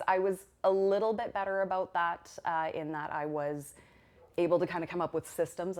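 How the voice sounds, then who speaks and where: Woman, nurse, interview subject; deep voice, talkative and frank. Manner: talkative, candid, quick bursts when explaining. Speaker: registered nurse. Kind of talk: interview testimony.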